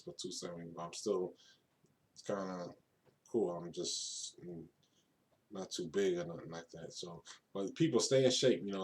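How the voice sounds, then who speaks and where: A man in his thirties from Brooklyn, New York, talking casually and unscripted to the camera, rambling, repeating and restarting his phrases. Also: low voice. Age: 30s. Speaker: vlogger.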